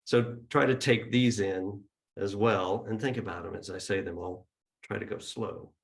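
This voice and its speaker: Man opening a service, lowish voice, chatty with ums, speaking to a hall on a mic. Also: normal speed, gravelly. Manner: chatty and informal, with ums and uhs. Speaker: church service opener. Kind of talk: casual opening remarks at a service. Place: church hall with live and online audience.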